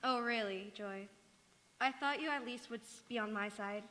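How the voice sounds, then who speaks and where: A woman's voice: on the high side, moderate pace, sharp tone. A woman playing an earnest, pleading young daughter in love in an old-time radio drama, in an echoey hall. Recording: echoey church hall stage.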